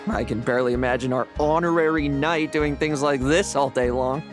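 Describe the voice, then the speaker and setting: An actor playing a reserved young knight back from an expedition in a video game, dry-voiced and given to sighs. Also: male voice, medium pitch, fairly even intonation, moderate pace, lightly acted. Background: game music.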